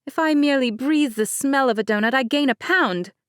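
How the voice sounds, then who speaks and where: A woman's voice: high-pitched, a little fast, clean tone, no echo, studio quality, voice pushed up, close to an everyday voice. A woman voicing a gushing, teasing young bride.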